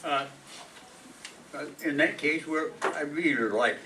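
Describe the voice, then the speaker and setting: A man's voice: on the high side, a little slow, distant mic, noisy background, close to an everyday voice. A man playing a polite, earnest and slightly hesitant bachelor neighbor in an old-time radio sitcom. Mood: bashful.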